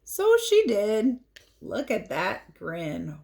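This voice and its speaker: A woman with a mid-pitched voice reads a picture book to children as their teacher. Her delivery swings widely in pitch and is expressive and playful. She slips easily between storytelling and chatty remarks on the pictures. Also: extremely slow, creaky. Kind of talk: animated read-aloud storytelling with casual asides.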